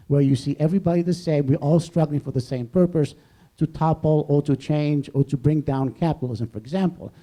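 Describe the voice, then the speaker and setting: A man speaking off the cuff as a professor in an academic discussion, discursive and halting, rephrasing as he goes. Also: fairly high pitch, dark tone. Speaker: professor on a debate panel. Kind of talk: extemporaneous academic discussion before an audience.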